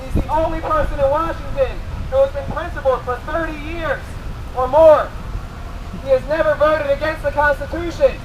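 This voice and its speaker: High-pitched American man exhorting through a bullhorn. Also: steady tone, moderate pace, highs cut off. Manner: impassioned, exhorting, sloganeering. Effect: bullhorn amplification. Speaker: political activist campaigning for a candidate. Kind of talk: impromptu street speech through a bullhorn. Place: outdoors at a road intersection. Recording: noisy outdoor roadside, traffic noise.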